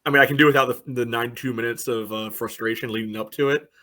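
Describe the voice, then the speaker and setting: A man's voice: mid-range voice, steady tone, racing, pushed and bright. A man co-hosting a soccer podcast in casual chat, talking hesitantly and peppering his speech with "you know".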